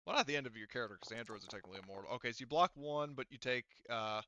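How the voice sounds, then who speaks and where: A man runs a tabletop roleplaying game in a casual, improvised way. His voice is clear and medium in pitch, and he often pauses to think out loud. The recording is close and dry, with a slightly muffled top end. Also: dry recording. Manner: halting, thinking aloud while working out rules. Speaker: game master.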